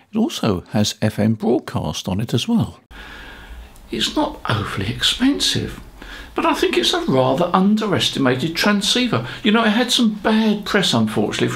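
A man's voice: on the high side, vocal fry, a bit bright. A British man in his seventies giving a conversational explainer to camera, chatty and reflective with rhetorical asides. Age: 70s. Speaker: ham radio presenter. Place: small home office, indoors.

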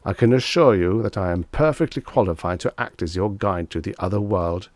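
Man playing a stiff, low-voiced agent of death. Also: darkish tone, no room sound, close to an everyday voice. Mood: frigid, offended. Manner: stiff, polite, measured, formal. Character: formal, earnest male agent of death, novice. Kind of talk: dramatised story reading.

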